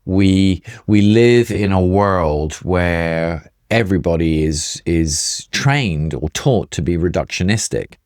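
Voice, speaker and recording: A man's voice: low voice, darkish tone, no room sound, studio quality. A middle-aged or older man explaining things conversationally as a doctor, emphatic and repeating points for stress. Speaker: functional medicine doctor, guest.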